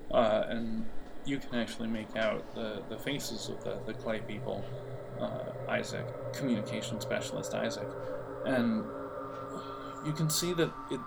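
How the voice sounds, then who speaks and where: A man narrating an improvised tabletop role-playing game as game master, often halting as he thinks aloud. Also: mid-range voice, vocal fry, noisy background. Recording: music playing under the voice.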